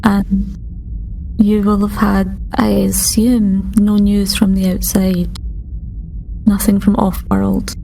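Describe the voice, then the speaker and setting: A woman voicing a calm, caring alien starship doctor in a tabletop game, measured, formal and reassuring. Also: mid-range voice, gravelly, a little hiss or hum, rough recording. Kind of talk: tabletop roleplay in character.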